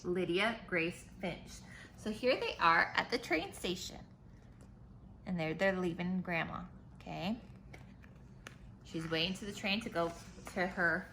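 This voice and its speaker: A woman teacher reading a picture book aloud to children, pausing for simple explanations and questions. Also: medium pitch, bright, forceful tone. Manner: simple explanations and questions addressed to young listeners. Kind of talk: read-aloud storytime with explanatory asides. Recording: roomy echo, faint background noise.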